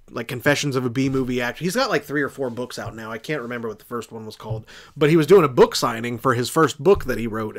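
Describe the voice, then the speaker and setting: A man from southeastern Indiana with an American accent hosts a podcast, telling stories casually in a rambling way and often backtracking as he goes. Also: medium pitch. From southeastern Indiana, United States. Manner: rambling, backtracking, loses his thread. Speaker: podcast host. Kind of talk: casual storytelling on a horror podcast.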